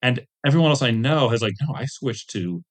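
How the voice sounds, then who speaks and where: A man's voice: medium pitch, animated, a little fast. A man telling rambling, self-deprecating anecdotes with jokey asides as a guest in a casual interview. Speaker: guest, tabletop game designer and webcomic artist.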